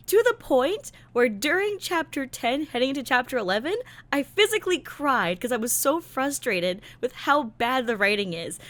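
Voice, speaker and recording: Animated, high-voiced sarcastic woman. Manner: sarcastic, exasperated, theatrical emphasis. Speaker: content creator reviewing a visual novel. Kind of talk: casual, slangy story recap commentary.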